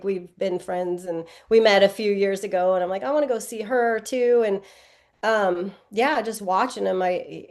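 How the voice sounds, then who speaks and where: A woman's voice: medium pitch, darkish tone. A woman in her forties answering interview questions casually, rambling and correcting herself as she goes. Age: 40s. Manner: rambling, self-correcting, lots of "um" and "like". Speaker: guest athlete.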